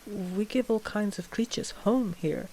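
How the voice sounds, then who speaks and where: A woman playing a cautious, principled vampire coven master in a scripted ASMR roleplay, measured and a little exasperated. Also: mid-range voice, a little mellow, slight background noise, natural voice, low-quality recording. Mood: wary, firm.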